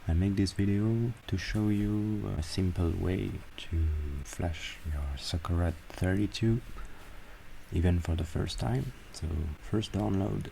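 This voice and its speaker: A man talking through a software tutorial step by step, with halting pauses between instructions. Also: low voice, vocal fry, a little hiss or hum, poor audio. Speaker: tutorial presenter. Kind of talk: screen-recorded software tutorial.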